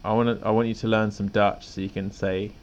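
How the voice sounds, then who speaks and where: A man's voice: fairly low pitch, flat delivery, brisk, dull audio, a little hiss or hum. A man co-hosting a podcast in a laid-back, rambling casual chat, often chuckling. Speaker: podcast co-host.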